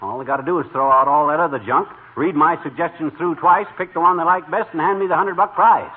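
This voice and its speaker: A man's voice: a little high, fast, lightly acted. An actor playing a cocky, impatient, slangy husband who blusters his way through a 1940s radio comedy. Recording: tinny, faintly noisy 1940s radio.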